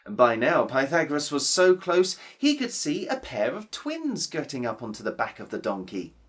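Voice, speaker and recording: Woman storyteller, low voice, very lively pitch, slow. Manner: expressive, playful, drawn-out repetitions. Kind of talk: storytelling for children.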